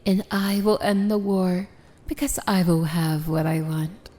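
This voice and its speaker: A woman playing a proud, dangerous evil princess in an ASMR fantasy romance roleplay, speaking softly and formally with a breathy, measured, regal delivery. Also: lowish voice, steady tone, lightly acted. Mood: solemn, resolute.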